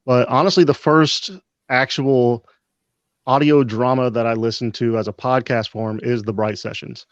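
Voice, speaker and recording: A man hosting a live stream interview, asking questions in a relaxed way with some hesitations. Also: mid-range voice, normal speed, no echo. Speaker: podcast society host. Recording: dull, dry lo-fi mic.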